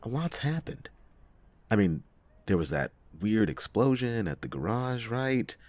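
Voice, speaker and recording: A man's voice: lowish voice, very animated, a little mellow, voice pushed up. A man playing a world-weary, reluctant private detective in a hesitant, dramatic noir roleplay monologue. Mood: reluctant, pleading. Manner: hesitant, drawn-out phrasing with pauses and sighing chuckles. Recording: echoey long reverb, music behind.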